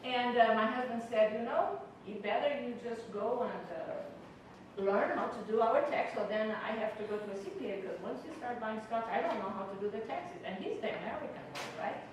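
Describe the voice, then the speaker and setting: A woman's voice: on the high side, brisk. A middle-aged Portuguese woman, a native Portuguese speaker with an accent, giving a chatty, personal tax talk to students with many fillers. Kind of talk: informal lecture to students. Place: campus lecture room. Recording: echoey hall from far off with noise.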